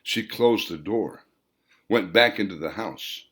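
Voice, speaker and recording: A man reading his own novel aloud as a dramatic audiobook, phrasing deliberately and drawing out words for emphasis. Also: lowish voice, husky. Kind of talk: dramatic scripted audiobook narration.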